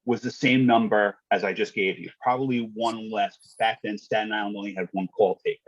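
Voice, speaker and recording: A husky-voiced man recounts things in a matter-of-fact, colloquial way, with some salty language. He sounds like a podcast guest telling stories. The audio is dry and a little dull. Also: fairly low pitch, steady tone, brisk, no echo. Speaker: guest, retired fire dispatcher. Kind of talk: conversational podcast storytelling.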